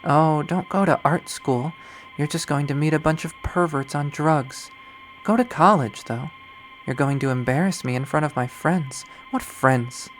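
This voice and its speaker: A man's voice: fairly high pitch, a little slow, close to an everyday voice, poor audio. A man puts on a middle-aged mother from a visual novel, nagging and anxious about status. The voice scolds and belittles, over faint music. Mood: nagging, disapproving. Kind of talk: dramatic visual novel readthrough.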